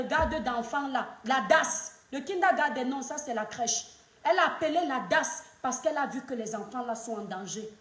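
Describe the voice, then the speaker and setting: A woman's voice: high voice, roomy sound. A woman, giving opinionated online commentary in an emphatic, lecturing way that repeats points for weight. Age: 30s. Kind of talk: opinionated social media commentary.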